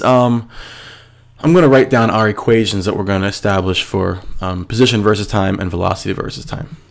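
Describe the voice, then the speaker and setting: Male teacher, stuffy with a cold, low and gravelly, patient and methodical. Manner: patient, step-by-step, asks and answers himself. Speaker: physics teacher. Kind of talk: screencast lesson explaining a worksheet.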